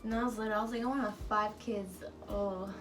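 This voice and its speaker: A woman's voice: mid-range voice, fairly even intonation, fast. An eighteen-year-old woman co-hosting a casual Q&A vlog, talking informally with "like" peppered through her answers. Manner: filler-heavy, repeats "like". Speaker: vlog co-host. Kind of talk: casual Q&A chat. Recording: distant mic with music behind. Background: music.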